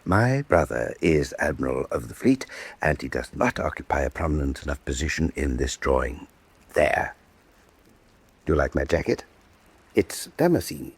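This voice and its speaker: An actor playing a vain, imperious Venetian statesman in a radio drama, suave and pompous, with silky menace and a husky voice. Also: very deep voice, big pitch swings, exaggerated voice. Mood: vain, pointed. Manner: suave, pompous, deliberate rhetorical repetition. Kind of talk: full-cast radio drama acting.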